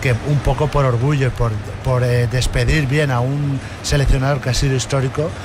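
A man's voice: medium pitch, little pitch movement, brisk. A man analysing a match off the cuff, hesitant and repeating words. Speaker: football pundit. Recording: clear background noise.

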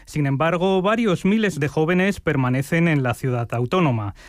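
A man reading radio news bulletins in a clear, measured way from a studio. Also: on the high side, no room sound. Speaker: news anchor. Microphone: studio microphone.

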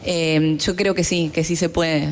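A woman's voice: deep voice, little pitch movement, a little slow, slight background noise. A woman with a Latin American Spanish accent, answering interview questions in an earnest, explanatory, conversational way. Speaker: fintech founder, award-winning guest.

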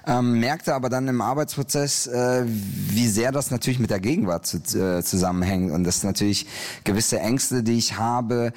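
A man's voice: medium pitch, normal speed, a little mellow. A middle-aged man from Iran, an author answering interview questions in a hesitant, thoughtful way with many fillers. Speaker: graphic novel author, interview guest.

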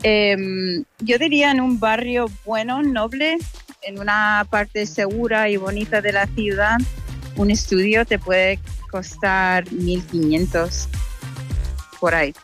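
A young woman from the United States speaks in an easy, chatty way, her first language English showing through. Her pitch sits in a middle range, and faint music plays under her voice. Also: steady tone, slow, fairly bright tone. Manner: chatty, mixes English phrases in. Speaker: interviewee. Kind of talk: casual interview.

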